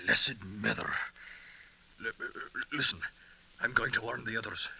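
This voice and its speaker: An actor playing an excitable, frightened thief, melodramatic and agitated, in a 1930s radio drama. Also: male voice, lowish voice, measured pace, gravelly, exaggerated voice. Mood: alarmed. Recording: noisy, crackly 1930s radio recording.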